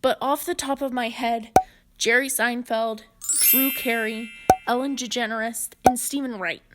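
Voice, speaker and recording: A woman presenter delivers prepared explanatory narration. Her voice sits a little high, and she moves very slowly through her points in a measured, deliberate manner. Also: steady tone, heavy background noise. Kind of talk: prepared video-essay narration on comedy history.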